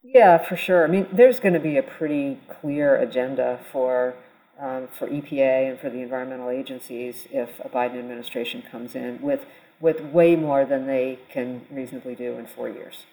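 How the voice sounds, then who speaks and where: A woman's voice: rumbling low, moderate pace, warm tone, poor audio. A woman explaining policy conversationally as an expert panelist on a podcast.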